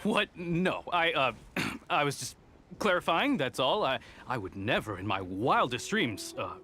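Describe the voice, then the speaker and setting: A man's voice: medium pitch, sing-song, a little slow, bright, forceful tone. An actor playing an earnest, loyal young male samurai retainer in an anime game, deferential and easily flustered. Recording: game music behind the voice.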